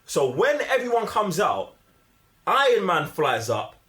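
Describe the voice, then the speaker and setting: Animated young London man, higher-pitched voice. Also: unhurried. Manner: opinionated, emphatic, repeats himself. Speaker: movie review podcast host. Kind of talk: casual, slang-heavy film discussion.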